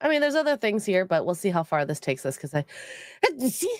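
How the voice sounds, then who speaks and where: A woman's voice: medium pitch, big pitch swings, brisk, no echo, clean studio sound. A woman hosting a casual livestream chat, sounding nasal from a cold, rambling with many ums as she reads out donations. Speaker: stream co-host.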